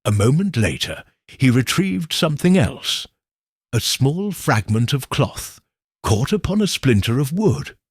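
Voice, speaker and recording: A man narrating a detective story in the first person with slow, expressive delivery. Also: medium pitch, breathy edge, dry recording, studio quality. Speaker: audiobook narrator.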